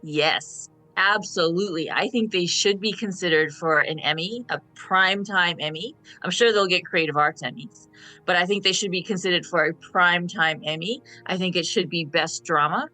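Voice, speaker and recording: Woman in her 50s, bright punchy voice, casual fan panel chat, really emphatic, says stuff twice. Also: lowish voice, normal speed, soft background music, rough recording. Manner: emphatic, repeats phrases for stress. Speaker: panel guest.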